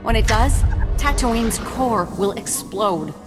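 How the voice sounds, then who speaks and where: An actress playing an earnest research facility survivor in a video game, explaining things with concern. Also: female voice, fairly high pitch, expressive, slow. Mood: worried. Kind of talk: video game cutscene acting. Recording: long hall reverb, music behind.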